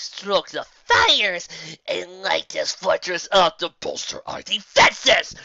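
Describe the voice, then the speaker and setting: Evil old monster king for an audio story, slow breathy whisper, sinister, super close and dry, kinda muffled. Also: mid-range voice, bright, forceful tone, no echo, voice pushed up, exaggerated voice. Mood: commanding, menacing. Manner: slow, drawn-out, grandiose royal we. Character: ancient male monstrous blight overlord, commanding, condescending, sinister.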